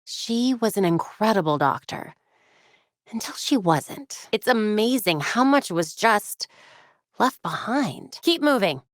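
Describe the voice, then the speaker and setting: Lively young vigilante heroine. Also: female voice, mid-range voice, no room sound, clean studio sound. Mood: earnest. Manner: conversational, quick-witted, earnest, talking to herself and teammates. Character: young female vigilante heroine, brainy, determined, wry. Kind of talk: video game voice acting.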